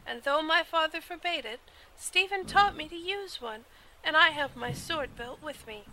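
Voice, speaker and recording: A woman's voice: high voice, lively intonation, moderate pace, pushed and bright, poor audio. A woman playing a headstrong young noblewoman in an audio drama, with an earnest, emphatic delivery. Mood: urgent, worried. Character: headstrong young noblewoman, anxious for her twin brother.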